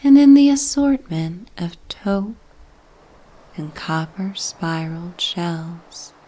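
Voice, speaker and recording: Very slow, soft-spoken woman narrator. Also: on the low side, animated, low-quality recording. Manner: soft, breathy, half-whispered, soothing. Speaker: narrator of sleep stories. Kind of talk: bedtime sleep story narration, guided relaxation ASMR.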